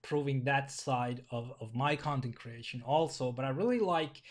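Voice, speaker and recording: A young man from Finland with a Finnish accent, speaking English as a second language in a casual vlog, halting and repeating words, recorded at his desk in a small bedroom. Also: medium pitch. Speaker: vlogger. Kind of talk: casual video commentary.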